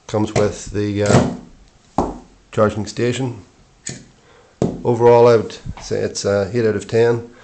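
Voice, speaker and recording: A middle-aged man giving an informal product review in short, matter-of-fact phrases with hesitant pauses. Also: lowish voice, noisy background.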